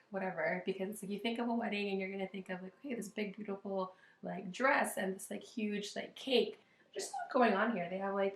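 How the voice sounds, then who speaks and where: A woman hosts a casual reaction video in a roomy, echoey space. Her mid-pitched voice is a little rough and hoarse. She talks informally, thinking out loud with plenty of filler "like". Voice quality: slightly rough, strained voice. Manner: chatty, informal, thinking aloud with lots of "like". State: hoarse from losing voice. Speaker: wedding planner and video host.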